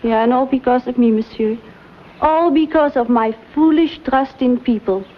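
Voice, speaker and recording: A flustered young French schoolmistress, played by a woman, anxious and trusting, pleading in a breathless, high voice full of French words. Her French accent colours every line. The sound is dull and slightly muffled, with a faint hiss under it. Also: normal speed, natural voice. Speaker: French teacher at a girls' academy. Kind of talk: period TV drama acting.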